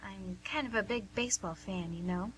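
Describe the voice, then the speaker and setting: Bright-voiced, coaxing nurse played by a woman. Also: mid-range voice, moderate pace, lightly acted. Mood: chatty, teasing. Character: cheerful, probing, slightly fumbling woman nurse named Angie. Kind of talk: radio drama acting. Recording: noisy amateur recording with faint hum.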